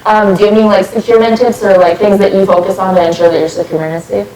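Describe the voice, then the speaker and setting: A woman's voice: medium pitch, steady tone, very fast, darkish tone, distant mic. A young woman interviewing a driver, asking casual friendly questions in a chatty, upbeat way. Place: office lounge, indoors. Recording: roomy echo.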